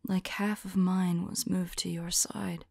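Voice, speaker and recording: A woman performs as a devoted female vampire in an ASMR audio drama. She speaks in slow, breathy whispers with a faint creak, tender and anxious, pausing as she muses to her beloved. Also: fairly low pitch, flat delivery, no room sound, heavily acted. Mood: curious, puzzled. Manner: halting, soft, musing to a loved one.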